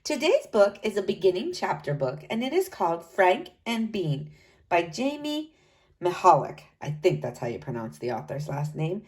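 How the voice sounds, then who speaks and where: A woman reading a children's storybook aloud, narrating deliberately and expressively for young listeners. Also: fairly low pitch, huge pitch swings, a little mellow.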